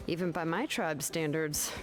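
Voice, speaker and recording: A woman plays a friendly, easily impressed tribeswoman in a fantasy video game. The voice is a little low. Clear game noise sits behind it. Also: moderate pace, close to an everyday voice. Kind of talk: video game dialogue acting.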